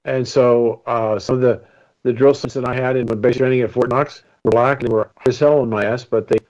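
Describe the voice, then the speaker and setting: A man's voice: mid-range voice, fairly even intonation, fairly quick, darkish tone, highs cut off. A man speaking as a radio panelist, earnest and impassioned, in a rambling way. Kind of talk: opinionated radio talk.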